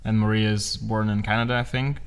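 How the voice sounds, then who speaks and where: A man born in Estonia with Russian as his first language, hosting a livestream Q&A in a relaxed way with many ums. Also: on the low side, fairly quick, smooth. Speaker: livestream host. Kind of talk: casual livestream Q&A.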